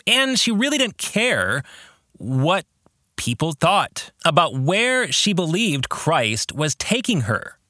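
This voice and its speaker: A man hosting a reflective solo podcast, speaking in deliberate, emphatic phrases. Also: fairly high pitch, sing-song, sharp tone, no echo, studio quality. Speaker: podcast host and author.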